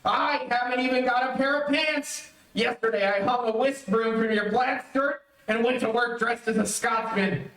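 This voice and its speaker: A man's voice: extremely high pitch, moderate pace, hardly any pauses, fairly bright tone. Male actor as grumbling, henpecked, penny-pinching husband, old-time radio comedy. Exasperated, off-mic in an echoey space. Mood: outraged. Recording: echoey, distant streamed stage recording.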